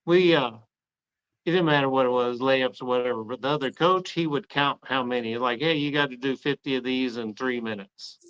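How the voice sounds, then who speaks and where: A man's voice: mid-range voice, normal speed, a bit bright, smooth. A man hosting a podcast and trading coaching stories, acting out what he said to players. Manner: tells stories, acting out his own quotes. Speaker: podcast host, former assistant coach. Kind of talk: casual interview talk. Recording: slightly dull lo-fi mic.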